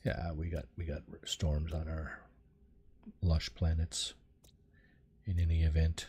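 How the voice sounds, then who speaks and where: A man with a very low, deep voice chats through his game stream in a relaxed, muttering way, often trailing off with ums. His tone is dark and mellow, recorded close on a desk mic with a pop filter. A faint hiss sits under him. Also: measured pace. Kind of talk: casual live-stream commentary.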